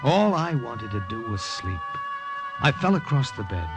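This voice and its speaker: A man's voice: mid-range voice, big pitch swings, measured pace, loud music behind the voice, poor audio. An actor with an American accent playing a weary wartime secret agent telling his story in an old-time radio drama. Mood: weary recounting. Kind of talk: 1940s-50s radio drama acting with first-person narration.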